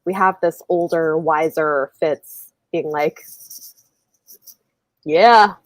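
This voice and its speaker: A woman with a slightly low voice hosts a relaxed book discussion. She thinks aloud in loose, rambling sentences full of fillers. The sound is very dry, with no room echo. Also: very slow. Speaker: live show host. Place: indoors, livestream video call.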